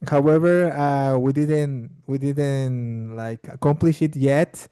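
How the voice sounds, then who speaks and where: Halting, very dark-voiced man. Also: medium pitch, fairly even intonation, smooth, no echo. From Bolivia. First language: Spanish. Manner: halting, repeats words while searching for them. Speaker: language exchange participant. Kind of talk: casual conversation practice.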